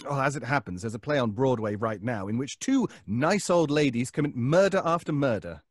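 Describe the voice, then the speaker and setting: An actor playing an anxious, earnest, insistent neighbor with a nervous, halting delivery, in old-time radio suspense style. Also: male voice, medium pitch, expressive, no room sound, clean studio sound, natural voice. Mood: earnest, defensive. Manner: nervous, hesitant, stammering.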